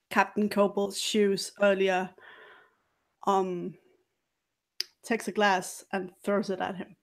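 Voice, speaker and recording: A woman playing a mystery role-playing game, improvising her character's actions and words. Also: medium pitch.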